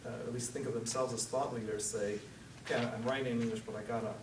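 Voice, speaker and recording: Male questioner, quick talker who acts out hypothetical quotes, heard far from the mic in a reverberant room. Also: medium pitch, little pitch movement. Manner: voices imagined quotes to make his point. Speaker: audience questioner. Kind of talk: asking a spoken question at a seminar. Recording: echoey room, distant mic, noisy.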